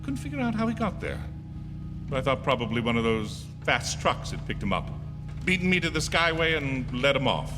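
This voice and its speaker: A man acting as an uneasy motorist in a radio drama, nervous and sometimes halting as he tells of being haunted. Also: mid-range voice, big pitch swings, over music, close to an everyday voice. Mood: uneasy recollection. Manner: nervous, halting, stammering under strain. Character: uneasy male motorist haunted by a recurring hitchhiker. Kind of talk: radio drama first-person narration and acting. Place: stage of a theatre. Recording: echoey theatre hall with long reverb.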